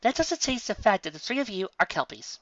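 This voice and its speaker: A woman's voice: mid-range voice, very animated, a little fast, no echo, highs cut off, voice pushed up, close to an everyday voice. A woman voicing a bookish young female unicorn scholar who lectures eagerly and precisely. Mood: explaining.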